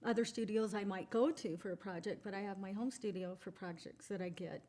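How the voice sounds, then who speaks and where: A middle-aged woman voice actress chatting as a guest in an interview, upbeat and quick to laugh. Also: medium pitch, fairly even intonation, mellow. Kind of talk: casual interview chat.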